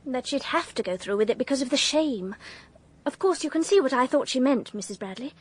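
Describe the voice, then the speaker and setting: A nervy young 1920s English society girl in a radio play. Her high, lilting voice leaps about as she chatters and confides with an upper-class accent. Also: expressive, brisk, breathy edge. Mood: nervous, confiding. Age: young adult. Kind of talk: period radio drama acting.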